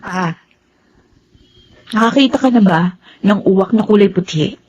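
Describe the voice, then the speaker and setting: An actress with a Filipino accent playing an evasive, slightly sardonic young adult daughter in a radio drama. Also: female voice, mid-range voice, a little slow, darkish tone, slightly rough, highs cut off. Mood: offhand, sardonic.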